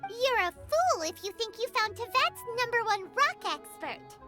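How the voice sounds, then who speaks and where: A shrill, very high little fairy companion, bouncing wildly up and down as she talks. She is brash, bossy and cheeky, the way a video game sidekick is. Loud game music plays under her. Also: very animated, a little slow, full character voice. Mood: excitable. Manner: refers to herself in third person, brash and cheeky. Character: tiny chirpy female fairy companion, bossy and excitable.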